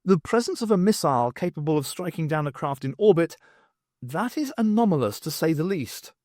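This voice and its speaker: A man's voice: on the high side, expressive, fairly quick, a little mellow, dry recording, studio quality, pitched above the performer's own voice, close to an everyday voice. A man voicing a formal, self-important male scholar in an audiobook, pedantic in manner.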